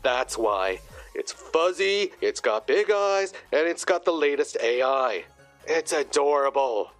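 A man's voice: fairly high pitch, expressive, slow pace, faint music behind. A man playing a crass, flippant tech company founder with cocky salesman patter. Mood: smug. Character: brash, crass, smug tech founder caught off guard.

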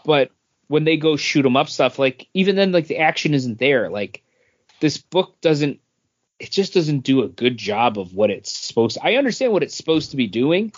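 A man's voice: a little high, very animated, moderate pace. Casual man critiquing comics, muffled audio. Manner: critical, explains details patiently. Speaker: podcast co-host. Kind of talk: casual comic review chat. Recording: dull lo-fi podcast audio.

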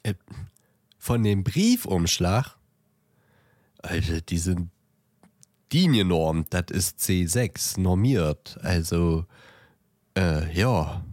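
A man's voice: deep voice, very animated, extremely slow, pauses often, a little mellow, dry recording, clean studio sound, full character voice. A man playing an obliging, flustered post office clerk who stammers hesitantly in bemusement in an amateur audio drama.

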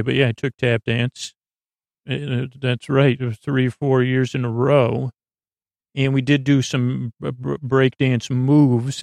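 A man hosting a bedtime podcast in a meandering, halting monologue that trails off into tangents. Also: mid-range voice, vocal fry, no echo. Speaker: podcast host.

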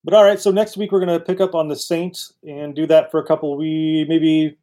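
A man's voice: fairly high pitch, level tone, a little fast, warm tone. A man co-hosting a casual chat show, with a dry, joking way of talking. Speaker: podcast co-host. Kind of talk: casual chat reviewing old radio serials.

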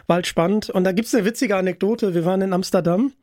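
A man's voice: sits high, quick pace, a little mellow, no echo, studio quality. A man chatting casually as the interviewer, asking questions and sharing anecdotes.

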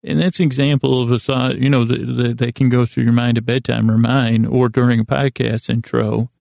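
A man hosting a bedtime podcast in a meandering, halting monologue that keeps interrupting itself, lighthearted and self-deprecating, with a gravelly voice. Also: mid-range voice, level tone, darkish tone, no echo, studio quality. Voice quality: gravelly, creaky. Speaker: podcast host.

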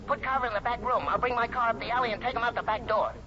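Vintage radio drama, telephone voice, anxious young man, rapid, high-pitched, terse, urgent. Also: pushed and bright. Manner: terse, pressing. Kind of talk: 1950s radio drama acting. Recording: dull telephone sound, noisy old radio.

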